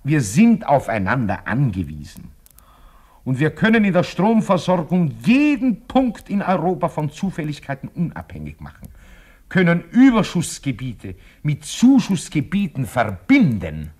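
An actor playing an impassioned, visionary speechmaker giving oratory in a radio drama. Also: male voice, on the high side, huge pitch swings, a little slow, a little mellow, husky, full character voice.

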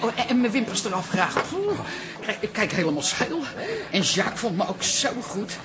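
A woman playing a vain, excitable would-be star in a comic radio drama, boastful and gushing. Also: medium pitch, moderate pace, very noisy, lightly acted. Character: vain, excitable amateur singer dreaming of stardom.

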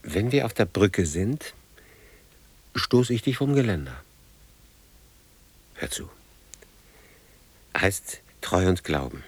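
An actor playing a weary, brooding older man in a radio drama, speaking gravely in halting fragments. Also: sits low, big pitch swings, full character voice. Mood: bitter, scornful.

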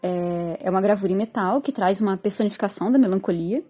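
A woman's voice: mid-range voice, quick pace, mellow. A woman with a Brazilian accent giving an informal, reflective spoken commentary with a lightly self-deprecating manner. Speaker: art history teacher.

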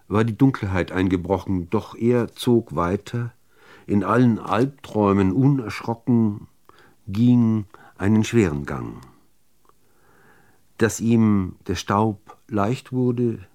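A man reading literary prose as a radio narrator, in a measured, deliberate delivery with dramatic pauses. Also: on the low side, expressive, warm tone.

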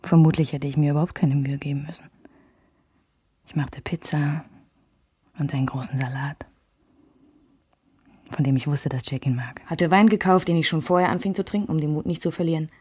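A woman's voice: low voice, normal speed, long pauses, darkish tone, breathy edge, dry recording, clean studio sound. An actress playing a wistful, candid woman recalling a love triangle in intimate, reflective first-person radio drama narration. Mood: tender, intimate. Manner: reflective, alternates German with English phrases.

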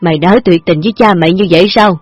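A woman's voice: fairly low pitch, very fast, breathy edge, no room sound, soft background music. A woman voicing an old father who gambles, sounding wheedling and defensive. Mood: pleading.

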